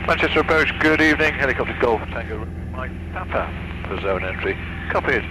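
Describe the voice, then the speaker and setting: Male helicopter pilot, quick clipped radio calls, very heavy noise. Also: mid-range voice, animated. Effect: aircraft radio transmission. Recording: aircraft radio with loud engine noise.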